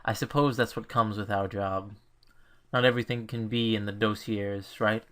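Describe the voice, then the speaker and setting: A man's voice: lowish voice, fairly even intonation, moderate pace, fairly bright tone, lightly acted. A man playing a stern, short-tempered mentor lecturing a trainee in a visual novel fan dub. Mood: frank, serious.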